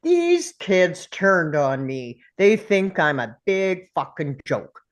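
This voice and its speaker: A woman's voice: lowish voice, big pitch swings, slow pace. A woman reading an indignant, weary older male school teacher in a table read of a film script. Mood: wounded.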